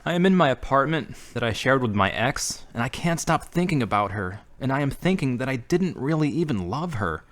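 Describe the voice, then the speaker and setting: A man's voice: medium pitch, fairly bright tone, natural voice. A man acting a brooding, earnest, troubled character in an audio drama.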